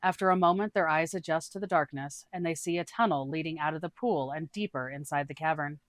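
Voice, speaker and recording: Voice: mid-range voice, steady tone, fairly quick, no echo, clean studio sound. A person reading a scripted fantasy adventure story aloud as its narrator. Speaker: storyteller, tabletop campaign player.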